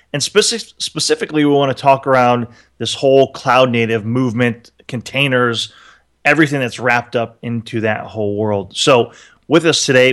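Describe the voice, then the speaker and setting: A man's voice: mid-range voice, fairly bright tone. A man co-hosting a tech podcast, chatting casually in an upbeat, joking, rambling way. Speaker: podcast co-host.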